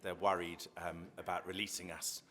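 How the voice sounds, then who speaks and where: English guy on a writers panel, low voice but bright and punchy, dry self-deprecating jokes. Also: level tone, normal speed. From England. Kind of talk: joking panel introduction. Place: panel room at a convention.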